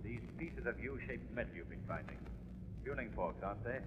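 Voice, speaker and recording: An actor playing a calm, cunning, commanding crime-fighter with deliberate, dramatic delivery in a 1930s radio drama. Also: male voice, mid-range voice, natural voice. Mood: sly, calm. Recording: dull, noisy 1930s radio recording.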